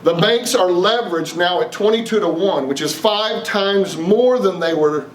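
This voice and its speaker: A middle-aged man with a Texan drawl speaks to a seminar audience in a folksy way, asking the room question after question. His voice sits a bit high and is picked up from a distance in a big echoing room. A faint hiss runs under it. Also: normal speed. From East Texas. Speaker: investment speaker. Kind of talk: live seminar talk to an audience. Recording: roomy, distant, faint noise.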